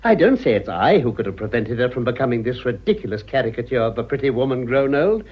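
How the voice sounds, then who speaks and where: An actor playing a worldly, sardonic old English gentleman with polished manners, in a radio drama. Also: mid-range voice, sing-song, fast talker, breathy edge. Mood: scornful. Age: older. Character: cynical, urbane old English gentleman, amused and cutting. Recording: muffled radio recording.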